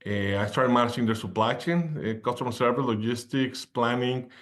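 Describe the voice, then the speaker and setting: A man's voice: medium pitch, normal speed. A Mexican man in his fifties with a Mexican accent, a podcast guest talking loosely with lots of fillers and restarts. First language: Spanish. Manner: rambling, with many fillers and restarts. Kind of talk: conversational interview.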